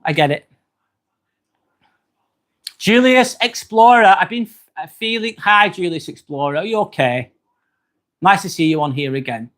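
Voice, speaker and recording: A man's voice: high voice, animated, unhurried, pauses often, a bit bright. A man with an accent from near Manchester, hosting a casual livestream and reading out viewer comments in a chatty, friendly way. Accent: northern English, near Manchester. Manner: chatty, friendly, frequent "um" fillers. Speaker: livestream host.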